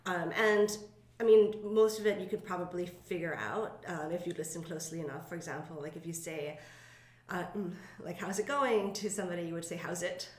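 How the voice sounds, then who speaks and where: A woman's voice: on the low side, a little fast, darkish tone, roomy sound. A young woman from Hawaii chatting casually as an interview guest, with lots of fillers and a self-conscious manner. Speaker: guest, business co-owner.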